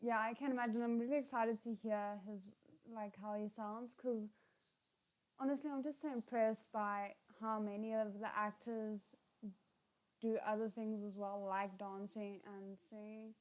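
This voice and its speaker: A woman giving casual, expressive live reaction commentary as a livestream host. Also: mid-range voice, a little slow, rough recording. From South Africa. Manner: expressive, exclaims and giggles while watching.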